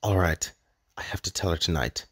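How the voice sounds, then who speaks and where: A man voicing a brooding, lovelorn immortal in an animated fantasy, with an earnest, melodramatic delivery. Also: deep voice, moderate pace, slightly rough. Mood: yearning, resolute. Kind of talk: dramatic animated fantasy dialogue.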